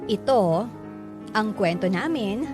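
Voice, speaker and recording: A woman's voice: mid-range voice, expressive, takes it slowly. A woman voicing an earnest letter sender, narrating memories of an admired parent in a scripted radio drama. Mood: earnest, reflective. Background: background music.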